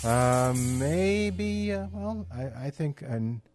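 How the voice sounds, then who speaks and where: A man's voice: medium pitch, animated, drawn-out words, a little mellow, faint music behind. A man hosting a radio show, talking in an informal, conversational way with loose run-on phrases.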